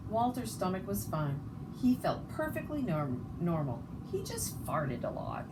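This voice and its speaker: A woman's voice: lowish voice, a little slow, distant mic. Woman reading a children's story, noisy recording. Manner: expressive, stumbles over words and rereads. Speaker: storybook reader. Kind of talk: children's story read-aloud. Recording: noticeable background noise.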